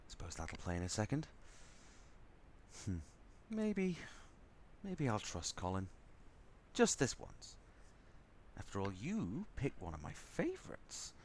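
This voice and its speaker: A man's voice: fairly low pitch, huge pitch swings, slow pace, long pauses, slight background noise, heavily acted. A man playing a sardonic, grudgingly fond spaceship crewman in an audio drama, rambling as he goes. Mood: grudgingly warm. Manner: sardonic, rambling, softening into fondness. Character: snarky male spaceship crewman, grudgingly fond, talking to baby.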